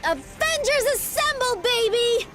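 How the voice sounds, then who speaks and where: A woman voicing an eager, bubbly teenage girl superhero in a video game, excitable and chirpy. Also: very high-pitched, measured pace, over music. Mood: excited. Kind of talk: video game cutscene acting.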